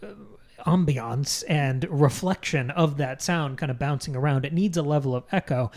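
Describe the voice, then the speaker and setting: A man's voice: on the high side, normal speed, darkish tone. A man being interviewed about his creative work, talking casually and self-deprecatingly as he searches for vivid descriptions. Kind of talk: casual behind-the-scenes interview.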